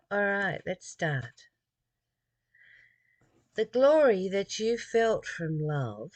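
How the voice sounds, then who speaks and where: An earnest speaker from Australia reads a spiritual text aloud at a slow, deliberate pace. The sound is dry and close, with little room around it. Personal reflections are woven between the read passages. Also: mid-range voice, no room sound. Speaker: host reading and commenting on a spiritual text.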